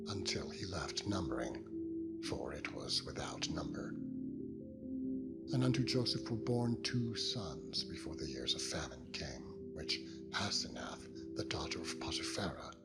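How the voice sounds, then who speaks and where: A man narrating a dramatized Bible reading in a solemn, measured and dramatic manner. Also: low voice. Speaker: scripture narrator. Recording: loud music under the voice.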